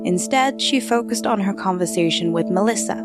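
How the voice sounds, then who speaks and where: A woman reading a scripted audio drama as narrator, measured and storytelling. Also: mid-range voice. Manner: measured storytelling, shifting into character voices. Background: background music.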